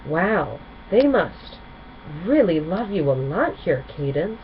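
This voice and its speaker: Eager young female pony student, voiced by a woman reader. Storybook, anxious, animated, slightly muffled noisy recording. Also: lowish voice, takes it slowly, exaggerated voice. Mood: impressed. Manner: eager, questioning storybook dialogue.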